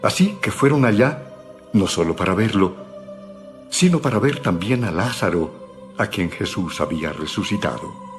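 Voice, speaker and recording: A man with a deep voice narrates a dramatized Bible story. He reads from a script, with faint music behind him. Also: expressive, moderate pace.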